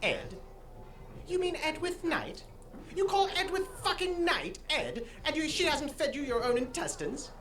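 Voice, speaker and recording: A woman's voice: high voice, sing-song, a little slow, fairly bright tone, a little hiss or hum, heavily acted. An actress playing a centuries-old, easily alarmed fae woman in an audio drama, with a dramatic, wry, exasperated delivery.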